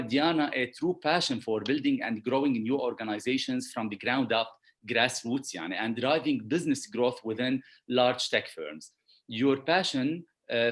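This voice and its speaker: A man with a Lebanese accent hosting a live online discussion, introducing his guest with many hesitant fillers. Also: mid-range voice. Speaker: host.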